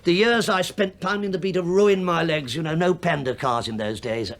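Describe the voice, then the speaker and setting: A man's voice: a little high, normal speed, lightly acted. An actor playing an English detective inspector in a radio play, a falsely affable, crafty middle-aged man who chats, hesitates and mock-apologises as he digresses. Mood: mock-apologetic, rambling.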